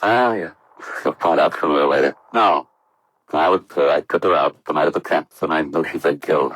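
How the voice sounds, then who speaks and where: An actor playing an earnest, troubled young American test pilot, hesitant and subdued in 1950s radio drama style. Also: male voice, a bass voice, fairly quick, a bit bright, breathy edge, no echo, exaggerated voice. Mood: guilty, pleading.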